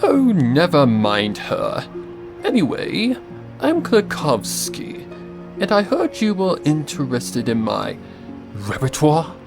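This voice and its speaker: A man playing a nervous, gentle, earnest painter stallion in an audio drama, with halting delivery. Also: fairly high pitch, huge pitch swings, vocal fry, heavily acted. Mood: friendly. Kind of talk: audio drama character acting. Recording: music behind the voice.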